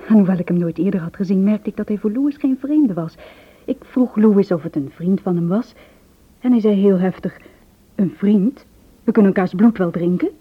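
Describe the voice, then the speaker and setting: A woman's voice: medium pitch, breathy edge. An actress playing a nervous, secretive young married woman who confides in a hesitant, earnest way in a radio drama. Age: 20s. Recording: muffled old radio play recording.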